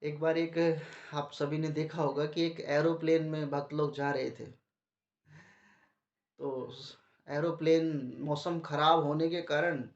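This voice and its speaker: A man with a sore throat giving a devotional talk to a gathering, asking the audience questions and answering them himself. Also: a little high. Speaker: preacher. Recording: muffled, echoey room.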